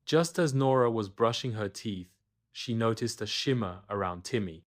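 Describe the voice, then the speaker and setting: A man's voice: lowish voice, moderate pace. A man reading a scripted children's bedtime story as the storyteller.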